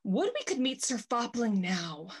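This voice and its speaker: A woman's voice: fairly high pitch, big pitch swings, unhurried, husky, exaggerated voice. A woman playing a proud, jealous society lover bent on revenge, haughty and theatrical, in a period comedy. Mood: vengeful. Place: indoor room, video call.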